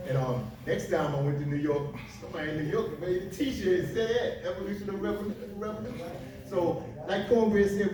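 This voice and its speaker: A man's voice: a little high, far from the mic. Seventy-year-old man joking in an echoey hall. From Philadelphia. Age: 70s. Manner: joking, reminiscing, laughs often. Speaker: panelist. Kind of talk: panel storytelling. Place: large library event room. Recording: echoey hall with audience, recorded from afar.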